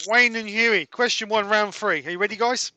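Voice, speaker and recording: High, bright upbeat quizmaster. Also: male voice, moderate pace, no room sound. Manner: upbeat, teasing. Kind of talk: hosting an online quiz.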